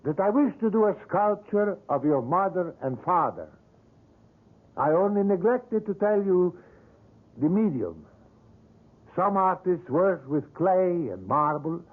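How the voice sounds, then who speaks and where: An actor playing a suave, defensive middle-aged wax sculptor, measured and condescending, in old-time radio drama style. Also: male voice, high-pitched, very animated, exaggerated voice. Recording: dull lo-fi old radio recording.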